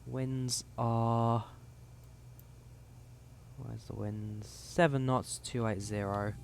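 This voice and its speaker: A man's voice: medium pitch, fairly even intonation, very slow, vocal fry, a little hiss or hum. A young man with a slightly worn voice, casually talking viewers through setting up a flight sim cockpit and reading out codes and numbers. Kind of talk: casual live commentary while setting up a flight.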